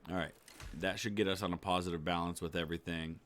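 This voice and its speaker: Male let's player, fairly low voice, easygoing running commentary, casual. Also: fairly even intonation, quick pace, fairly bright tone. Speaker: gaming YouTuber.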